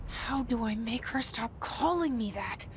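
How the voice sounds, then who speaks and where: Hushed, slow, brooding young heroine. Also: female voice, fairly high pitch, animated, a little hiss or hum. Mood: wary, searching. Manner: hushed, breathy, deliberate inner monologue. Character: guarded, searching young woman's inner voice, intense and lonely. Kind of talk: video game voice acting. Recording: loud music behind. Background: music.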